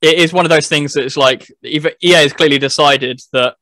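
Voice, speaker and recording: A man's voice: on the high side, fairly even intonation, a little fast, bright, forceful tone, no room sound. A man hosting a podcast, explaining things in a casual gaming chat in loose, everyday wording. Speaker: podcast host reviewing a video game.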